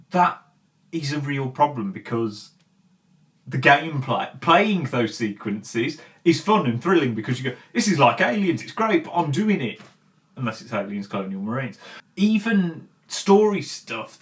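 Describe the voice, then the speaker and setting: A man's voice: medium pitch, a bit bright. A man hosting a video channel, delivering conversational, opinionated commentary to viewers with emphatic, point-by-point repetition. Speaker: video channel host presenting an opinion piece.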